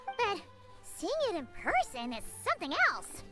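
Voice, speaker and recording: A woman voicing a tiny, excitable childlike companion creature in a video game, squeaky and exclamatory. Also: a little slow, exaggerated voice. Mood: chatty, daunted. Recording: loud background music from game. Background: loud game music.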